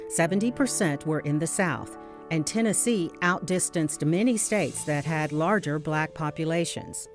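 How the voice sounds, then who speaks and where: A woman's voice: sits low, moderate pace. A woman reading scripted documentary narration as the narrator. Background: guitar music.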